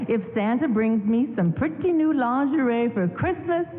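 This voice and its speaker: A woman's voice: a little high, expressive, normal speed, natural voice. A woman playing a chatty celebrity wife in a scripted 1940s radio interview and soap plug. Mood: obliging, chatty. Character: chirpy, obliging celebrity wife plugging soap. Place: live on stage in a town hall theatre. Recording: thin phone-like sound, echoey hall, noisy.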